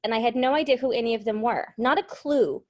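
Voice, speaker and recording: A woman's voice: a little high, fast, a little mellow. Bubbly woman with a light Massachusetts accent. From Cape Cod, Massachusetts. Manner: bubbly, laughs often, self-deprecating. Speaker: singer-songwriter guest. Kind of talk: casual interview chat.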